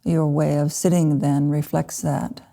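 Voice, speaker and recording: Low, creaky woman guiding meditation. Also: little pitch movement. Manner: unhurried, gentle pauses between short phrases. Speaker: Buddhist meditation teacher (lama). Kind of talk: guided meditation talk.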